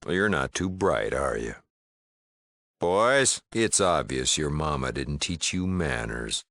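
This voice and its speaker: Gruff small-town frontier mayor and shopkeeper for a game: American, bottom-of-the-range bass, no-nonsense, folksy, close dry sound. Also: male voice, very animated, slow pace, a bit bright, no room sound, full character voice. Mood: stern, threatening. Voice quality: deep, gravelly. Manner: folksy drawl, wry, no-nonsense. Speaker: video game character. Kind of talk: video game dialogue acting.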